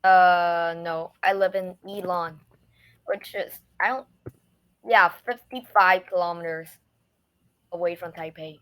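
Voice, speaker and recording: A speaker from Taiwan practicing English on a video call, hesitant and full of fillers. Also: male voice, high-pitched, steady tone, slow pace, long pauses, sharp tone, clear, resonant tone. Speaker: English learner. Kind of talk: casual conversation.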